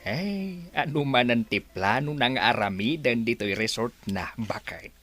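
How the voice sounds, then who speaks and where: A man playing an excitable villager who keeps asking why. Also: mid-range voice, a little slow, soft background music. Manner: animated, repeats "ah, bakit?".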